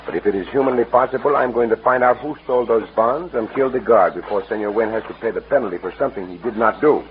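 Guy as gallant cowboy hero, lowish and quick, old radio drama. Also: close to an everyday voice. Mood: resolute. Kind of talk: old-time radio western acting. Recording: muffled, noisy old radio recording.

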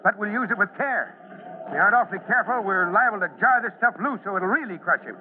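A high-voiced, commanding fire chief, played by a male actor in a vintage radio play. He gives urgent, careful orders with firm authority. The sound is thin and narrow, with clear noise over it. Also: fast, natural voice. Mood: grave, firm. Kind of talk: old-time radio drama acting. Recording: thin, noisy old radio recording.

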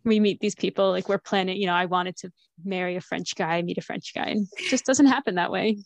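A woman in her thirties hosting a podcast, with a gravelly vocal fry under a mid-range voice. She jokes lightly and asks curious questions, and the sound is dry and close with no room echo. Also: lowish voice, a little fast. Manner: friendly, jokey, curious questions. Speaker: podcast host. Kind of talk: casual interview chat.

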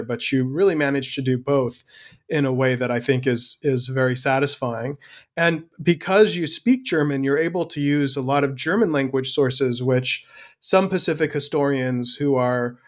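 Male interviewer framing a question. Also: mid-range voice. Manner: appreciative, smoothly framed questions.

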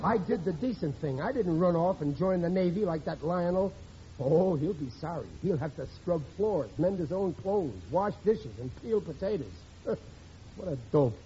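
A man's voice: high-pitched, lively intonation, moderate pace, warm tone. An actor playing a blustering, indignant working-class family man in a 1940s radio sitcom. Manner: blustery, mangles phrases. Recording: dull, noisy old radio recording.

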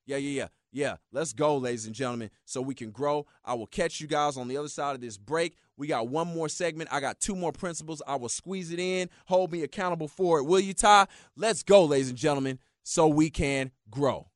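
A man's voice: on the high side, brisk, hardly any pauses, no echo, clean studio sound. A man hosting a motivational talk show, preaching in a hyped, repetitive way. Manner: preachy, hyped, repeats "yeah, yeah, yeah" and "yo". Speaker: motivational radio host.

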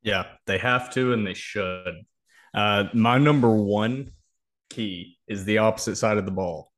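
Low-voiced man breaking down football. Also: unhurried, fairly bright tone. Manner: opinionated, emphatic. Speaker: sports podcast host. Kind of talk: football preview analysis, conversational.

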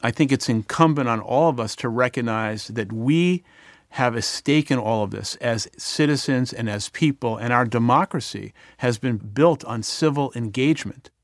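A man's voice: medium pitch, normal speed, dry recording, studio quality. A middle-aged man speaking earnestly as an interview guest, with some fillers. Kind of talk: reflective conversation.

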